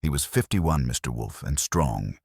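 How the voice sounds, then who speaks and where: A man's voice: a bass voice, animated, moderate pace, gravelly, a little mellow, breathy edge, no room sound, studio quality, voice pushed down, heavily acted. A man voicing a nervous, grieving widow in her thirties, pleading and holding herself together.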